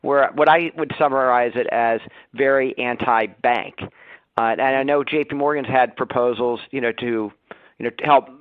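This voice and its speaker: Halting male analyst on dry phone line. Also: medium pitch, fairly even intonation, no echo. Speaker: financial analyst asking questions. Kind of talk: spontaneous question on earnings call.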